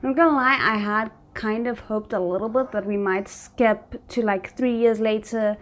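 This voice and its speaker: Woman doing a casual reaction vid, kinda high voice, thinks out loud, says like a lot. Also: normal speed. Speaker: reaction video host.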